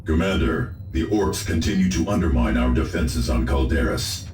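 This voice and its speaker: A solemn space marine advisor, extremely deep and ringing with echo. He reports formally and gravely, as in a video game cutscene, over music. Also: animated, moderate pace, darkish tone. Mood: urgent, formal. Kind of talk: dramatic video game acting. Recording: echoing voice with music behind.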